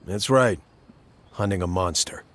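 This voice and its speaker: A man's voice: sits low, measured pace, fairly bright tone. A man playing a world-weary, blunt monster-hunting mercenary in a fantasy game, laconic and matter-of-fact. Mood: curt. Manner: laconic, matter-of-fact, clipped colloquial phrasing. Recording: background music under the dialogue.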